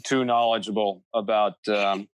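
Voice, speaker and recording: A man's voice: on the low side, fairly even intonation, moderate pace, clear, resonant tone, no room sound. A man talking casually as a guest on a podcast.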